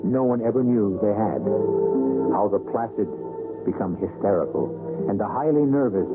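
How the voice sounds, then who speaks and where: A man hosting a radio mystery series, narrating a script in a theatrical, deliberate way with rhetorical pauses. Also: fairly low pitch, phone-quality sound. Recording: thin old radio sound, music underneath.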